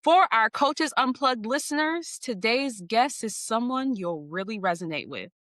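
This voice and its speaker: A woman hosting a coaching podcast, reading a polished guest introduction with measured, emphatic lists and then asking interview questions. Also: fairly high pitch, lively intonation, fairly bright tone, no room sound, studio quality. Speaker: podcast host.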